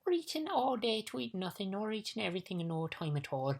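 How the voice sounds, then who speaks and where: A woman's voice: mid-range voice, very animated, unhurried, creaky, slightly rough, pitched above the performer's own voice, full character voice. A woman voicing a pompous, flustered, gluttonous male bug in a storybook, with flowery, repetitive talk. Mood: wheezing, muddled. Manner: pompous, flowery, repeats phrases.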